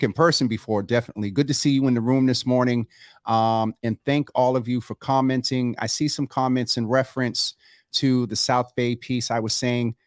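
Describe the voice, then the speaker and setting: Host: man, mid pitch, casual and familiar with fillers, dry close-miked sound. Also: dry recording. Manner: warm, familiar, many fillers. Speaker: talk show host. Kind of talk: casual live talk show.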